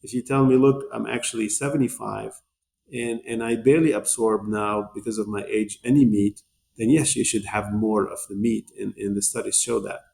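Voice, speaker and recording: Gravelly low-voiced man explaining at length. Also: fairly even intonation, normal speed, a little mellow. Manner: rambling, repeats words when restarting phrases. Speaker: podcast guest, nutrition company executive. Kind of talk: conversational interview explanation.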